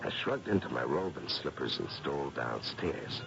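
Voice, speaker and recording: An actor playing a grave, concerned gentleman who believes in the supernatural, solemn and earnest in radio drama style. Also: extremely low pitch, very animated, moderate pace, creaky, heavily acted. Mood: ominous, hushed. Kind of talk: 1970s radio drama acting and narration. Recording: muffled, very noisy radio broadcast.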